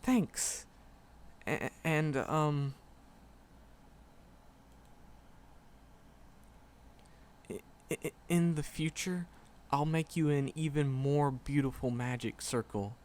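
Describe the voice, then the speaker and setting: A man's voice: a little high, fairly even intonation, a little mellow. A man playing a shy, flustered, lovestruck magic professor in a roleplay audio drama, stammering and hesitant. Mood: relieved, happy. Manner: nervous, heavy stammering, hesitant, halting. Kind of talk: roleplay audio drama, speaking softly to the listener.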